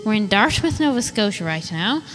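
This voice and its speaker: A woman's voice: mid-range voice, very animated, a little fast, lightly acted, rough recording. A woman playing an earnest, sweary Irish fairy exorcist reading out a letter in a comedy audio drama. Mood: earnest, pleading. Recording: loud music behind the voice. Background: loud instrumental music.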